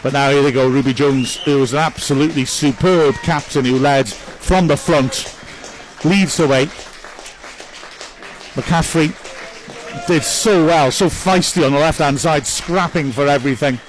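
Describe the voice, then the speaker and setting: A man's voice: on the high side, a little slow, soft background music, poor audio. A man giving live club commentary on a football match, reading out viewer messages and chatting upbeat about each player.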